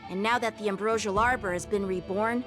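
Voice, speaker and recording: Woman acting young male soldier, game character, dutiful, direct, earnest, polite, clear music bed. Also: mid-range voice, fairly even intonation, a little fast, natural voice. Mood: uneasy, disapproving. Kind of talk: English video game voice acting. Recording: loud music under the voice.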